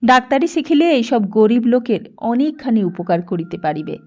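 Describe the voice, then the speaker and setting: Woman narrating, fairly high voice. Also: a little mellow, dull audio. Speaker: audiobook narrator. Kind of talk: scripted narration of a literary Bengali story.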